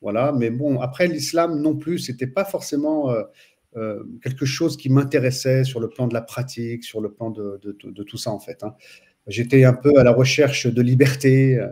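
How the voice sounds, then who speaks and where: A man in his forties from France, a teacher telling his story as a guest in a relaxed interview, pausing often with fillers. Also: mid-range voice. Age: 40s. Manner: hesitant, frequent pauses and fillers. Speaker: guest, Islamic studies teacher. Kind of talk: casual interview chat.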